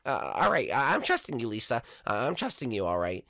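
A woman's voice: very deep voice, big pitch swings, a little fast, gravelly, fairly bright tone, no room sound, clean studio sound, full character voice. A woman voicing a nervous, boastful young boy who stammers and hesitates, in a homemade cartoon play.

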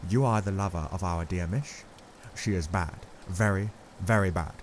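A man voicing an older, grave and kindly foreign professor who speaks in halting, odd word order. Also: deep voice, slight background noise, close to an everyday voice.

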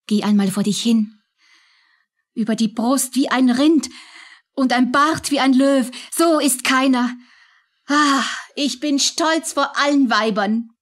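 A woman's voice: high-pitched, slow pace, voice pushed up. A woman playing a proud, sensual young woman with a defiant streak in a German radio play. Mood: admiring, proud.

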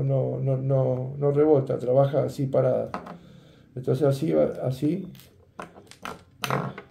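Older argentine guy showing fishing knots, real gravelly voice, echoey room. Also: medium pitch, warm tone, slight background noise. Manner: chatty, checks in with "¿eh?" and "¿ves?". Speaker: fishing instructor. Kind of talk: hands-on tutorial demonstration. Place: indoor workroom at a table.

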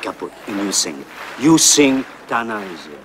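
An actor playing a calm, reassuring male opera colleague. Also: fairly low pitch, normal speed, noisy background. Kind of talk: film drama acting. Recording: film soundtrack with crowd murmur.

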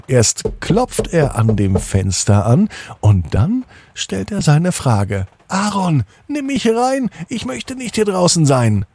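A man narrating a scripted bedtime story for children, with a gentle, deliberate storytelling manner. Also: mid-range voice, creaky, slightly rough.

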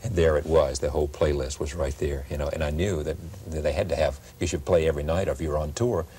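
A man's voice: rumbling low, steady tone, fast talker, dark tone, slight background noise. A middle-aged man hosting a broadcast interview, probing and rephrasing his questions at length, on a lapel mic in a studio. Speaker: talk show host. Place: television studio set.

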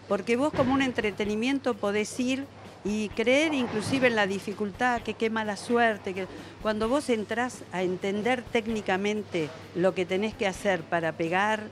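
A woman in her seventies with an Argentine accent answers interview questions conversationally, in a reflective, explanatory manner. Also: mid-range voice. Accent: Argentine Spanish. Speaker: veteran bowler being interviewed. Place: indoor bowling alley. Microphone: handheld interviewer's microphone. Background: music.

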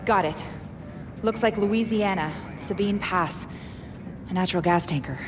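An actress playing a brisk, serious intelligence officer in a military thriller game. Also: female voice, mid-range voice, big-room sound, noisy background, natural voice. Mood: urgent, focused.

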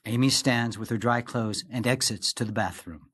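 A man's voice: medium pitch, normal speed, a bit bright, husky. A man giving scripted narration, reading the stage directions of a play.

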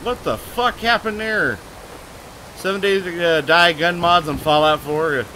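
A man streaming live gameplay, talking loud and excitably, with a booming voice, cursing at the game and answering chat. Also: unhurried, a little hiss or hum, low-quality recording. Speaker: gaming streamer.

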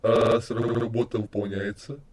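A man's voice: lowish voice, flat delivery, normal speed, natural voice. A man voicing a deranged skeleton destroyer in an amateur comic dub, cheerfully menacing, stuttering and repeating words like a glitch. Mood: cheerful menace. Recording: clear background noise.

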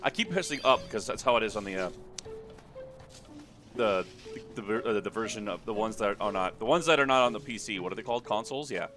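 A man's voice: fairly low pitch, fairly quick, over music. A man hosting a let's play, giving casual, rambling commentary with jokes and swearing.

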